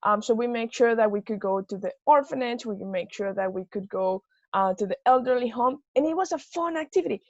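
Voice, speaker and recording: A fairly high-voiced woman from Venezuela speaks casually, filling pauses with ums and uhs. The sound is dull, lo-fi, and very dry, like a video call. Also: animated, no echo. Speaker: guest speaker. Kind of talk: personal story in a webinar.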